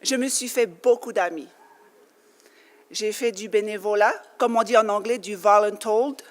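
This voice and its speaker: A woman's voice: medium pitch, unhurried. French Polynesian woman speaking in a hall. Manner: earnest, with light laughs and fillers. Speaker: conference speaker. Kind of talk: personal speech to an audience. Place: conference hall. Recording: long hall reverb.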